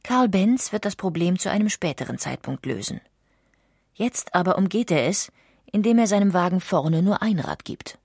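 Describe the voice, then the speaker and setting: Female documentary narrator, low register, dry recording, scripted delivery. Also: animated, moderate pace, a little mellow, husky, dry recording.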